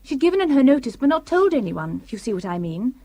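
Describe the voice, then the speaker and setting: An actress playing a breezy, candid young British flatmate in a radio drama, brisk and a little defensive. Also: female voice, sits high, exaggerated voice.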